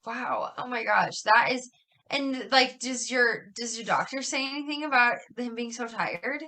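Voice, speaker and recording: High, bright-voiced chatty woman. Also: lively intonation, a little slow. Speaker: teacher, podcast guest. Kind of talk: casual chat.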